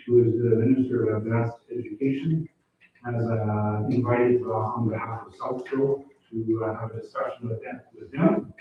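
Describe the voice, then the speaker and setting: A man whose first language is French gives his councilor report at a town council meeting, pausing and repeating himself as he searches for words. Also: mid-range voice, slight background noise. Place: council chamber. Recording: echoey chamber, distant phone-like mic.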